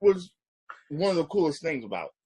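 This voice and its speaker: Middle-aged dude talking movies, easygoing, swears a lot, kinda higher voice. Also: male voice, big pitch swings, a little fast, mellow, slightly rough, rough recording. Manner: laid-back, laughs often, swears casually. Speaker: movie reviewer. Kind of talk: casual movie review chat.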